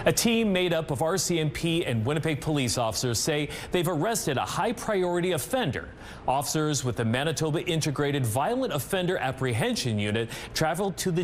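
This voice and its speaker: Man, Canadian accent, news anchor, scripted reading, slightly high pitch, lively inflection, faint background noise. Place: TV news studio.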